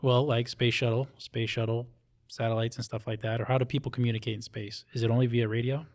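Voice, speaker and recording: A man's voice: medium pitch, level tone, quick pace, a little mellow. A man hosting a casual interview, asking questions in an informal, jokey way. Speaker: interview host.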